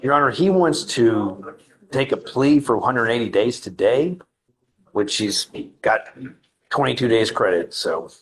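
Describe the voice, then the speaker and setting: A man speaking as an attorney in a courtroom, brisk, polite and businesslike. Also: medium pitch, animated, low-quality recording. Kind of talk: addressing the court.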